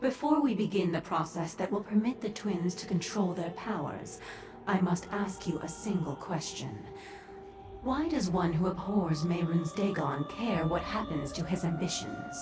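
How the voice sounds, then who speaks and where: An actress voicing a cold, composed otherworldly sorceress in a video game, speaking in a formal, measured, haughty way. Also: female voice, fairly low pitch, expressive, natural voice. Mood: composed, imperious. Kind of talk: video game character dialogue. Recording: echoing voice over background music.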